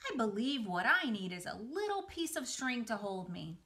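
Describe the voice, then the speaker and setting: Lady doing a excitable young boy piglet from a kids storybook, cheerful, bit of a show-off. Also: fairly high pitch, moderate pace, full character voice. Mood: cheerful, hopeful. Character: eager, boastful, naive young male pig. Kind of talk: children's storybook reading.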